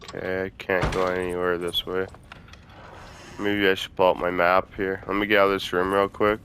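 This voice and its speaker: A man's voice: low-pitched, little pitch movement, normal speed, fairly bright tone, a little hiss or hum. A man hosting a gaming video, giving casual commentary as he plays. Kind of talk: casual game playthrough commentary.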